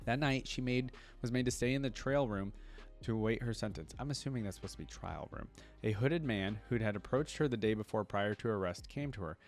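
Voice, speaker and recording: Male reaction creator, lowish voice, casual lore read-through with off-the-cuff asides, faint music underneath. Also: a little fast. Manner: interrupts reading with conversational asides.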